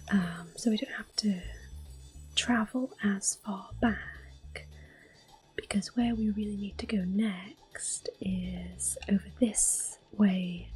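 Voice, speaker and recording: A woman hosts an ASMR playthrough in a soft, breathy half-whisper. She gently talks through each move at a slow, unhurried pace. Faint game music plays behind her voice. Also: medium pitch. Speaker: game streamer, host. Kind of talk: ASMR gameplay commentary.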